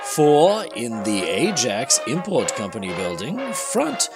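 A man's voice: medium pitch, wildly swooping pitch, slow pace, over loud music. A man hosting and narrating a comedic dramatic reading, mixed with casual banter. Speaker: podcast host and narrator.

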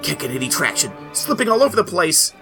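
Sarcastic, creaky-voiced male co-host. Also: a little high, very animated, quick pace, bright, forceful tone, soft background music. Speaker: podcast co-host. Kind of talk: casual joking banter.

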